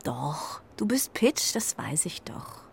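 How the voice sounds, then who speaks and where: A woman playing a homesick, loyal carrier pigeon in a children's radio play, speaking in a dreamy, hesitant, breathy way. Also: sits low, big pitch swings, slow, whispered, exaggerated voice. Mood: wistful.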